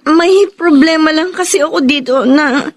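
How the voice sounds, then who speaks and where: Very high-voiced, troubled young woman. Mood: hesitant, guarded. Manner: hesitant, halting, emotional. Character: troubled, hesitant young woman, secretive and tearful. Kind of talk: Filipino radio drama acting.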